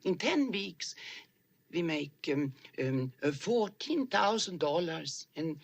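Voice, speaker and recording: A woman playing a timid, pious missionary who speaks with a Swedish accent and is not a native English speaker, with halting, hesitant speech full of fillers. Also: on the low side, big pitch swings, gravelly, a little hiss or hum, heavily acted. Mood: nervous, halting. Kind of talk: film dialogue.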